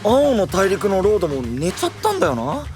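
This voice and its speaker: An eager, candid young knight in an anime voice drama. His fairly high, casual voice swoops with great animation over faint music. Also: huge pitch swings, fairly quick. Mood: candid, curious. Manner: plain, casual, boyish. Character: simple, candid, eager boyish male knight. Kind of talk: anime-style voice drama acting.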